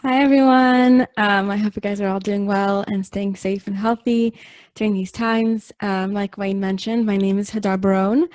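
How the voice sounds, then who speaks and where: A woman's voice: medium pitch, fairly even intonation, moderate pace, a little mellow, dry recording. A woman from Israel and the United States, a singer giving a casual, chatty spoken introduction from home on an online call. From Israel and the United States, raised in both. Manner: chatty, informal.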